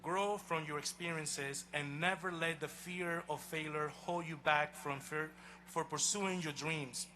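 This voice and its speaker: Bright-voiced man with a Honduran Spanish accent. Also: fairly high pitch, fairly even intonation, slight background noise, rough recording. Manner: measured, emphatic address to a crowd. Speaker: college president. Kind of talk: commencement speech. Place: outdoor stadium.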